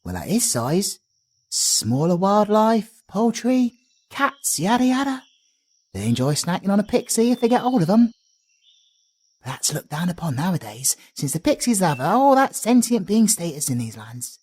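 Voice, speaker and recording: A man's voice: high-pitched, very animated, moderate pace, darkish tone, slightly rough, full character voice. A British man voicing a cheerful, chatty male bard in an audio roleplay, doting and slangy as he talks.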